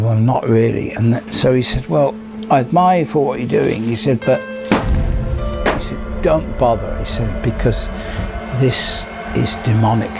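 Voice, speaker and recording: A man's voice: lowish voice, creaky. A man interviewed for a documentary, recounting a past conversation. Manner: recounting a conversation with quoted speech. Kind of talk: anecdotal storytelling.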